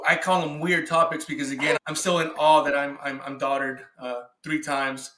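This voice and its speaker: A man's voice: on the high side, level tone, normal speed, a crowd in the background. A man hosting a podcast in a casual, informal and appreciative way. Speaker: podcast host, father. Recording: roomy, echoing sound.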